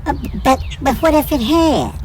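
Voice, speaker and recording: A woman's voice: high-pitched, lively intonation, normal speed, a little mellow, a little hiss or hum. A woman playing a curious, caring young son in a hobby audio drama, earnest and full of questions. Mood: earnest, questioning.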